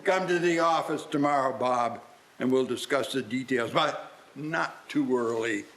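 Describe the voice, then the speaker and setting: A man's voice: mid-range voice, unhurried, fairly bright tone. A man playing a reformed old Victorian businessman in a live radio play, speaking formally and earnestly. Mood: hearty, generous.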